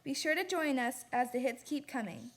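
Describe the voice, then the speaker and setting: A woman's voice: sits high, lively intonation, normal speed. A woman reading a scripted theatre promo as a co-announcer. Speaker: advert co-announcer.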